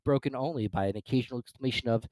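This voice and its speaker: A narrator giving an expressive, dramatic reading of a classic children's story. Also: medium pitch, rapid-fire, no echo.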